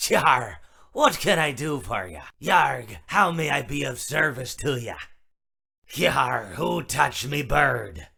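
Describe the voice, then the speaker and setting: A gruff pirate robot from a video game. The voice is gravelly and forceful, rolling along slowly in a thick stage pirate accent. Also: male voice, medium pitch, lively intonation, heavily acted. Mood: gruff, wry. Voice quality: gravelly, raspy. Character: gruff pirate animatronic with a pet bird.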